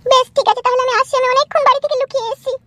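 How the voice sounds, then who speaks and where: A man acts out a young cartoon woman in love, with an extremely high, bright voice. She coaxes and scolds sweetly but bossily, at a very quick pace. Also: level tone, dry recording. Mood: chatty, coaxing. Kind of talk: amateur comedy cartoon dub.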